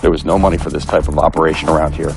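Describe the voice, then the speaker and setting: Deep, gravelly American police officer. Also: male voice, level tone. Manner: halting, matter-of-fact. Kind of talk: informal interview explanation.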